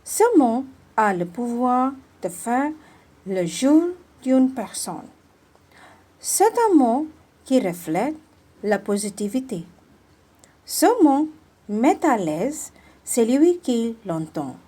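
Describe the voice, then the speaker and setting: Young Indian woman student, breathy, animated pitch, slow. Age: young adult. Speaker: university student. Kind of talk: read-aloud video message.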